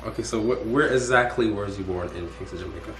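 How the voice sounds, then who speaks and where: A man's voice: fairly low pitch, quick pace, fairly bright tone. A young man hosting a casual interview, rambling and restarting his questions. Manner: rambling, restarts and repeats words. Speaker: host. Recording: echoey room.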